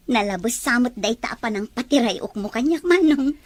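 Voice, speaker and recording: A woman's voice: on the high side, animated, normal speed. A woman acting a friendly, teasing young office worker in a drama. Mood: friendly, playful. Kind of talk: Ilocano-language drama dialogue.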